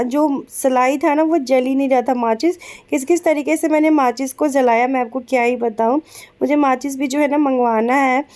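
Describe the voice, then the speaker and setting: Soft-spoken young woman with a sore throat. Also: high-pitched. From Bihar, raised in Kolkata. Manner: chatty, soft and unhurried, many fillers. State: sore throat, speaking gently. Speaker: vlogger. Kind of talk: casual vlog voice-over.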